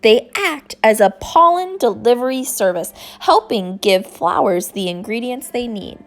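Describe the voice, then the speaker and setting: A woman reading a children's book aloud in an expressive, scripted narrating style. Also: fairly high pitch, unhurried. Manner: expressive, acts out dialogue. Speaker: storybook reader.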